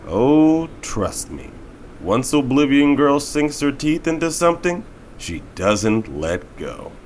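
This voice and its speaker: An actor playing a seedy, unhurried caravan-dwelling go-between in an audio drama, drawing his words out. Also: mid-range voice, big pitch swings, darkish tone, slight background noise. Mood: knowing. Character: slow-talking male go-between living in a grubby caravan.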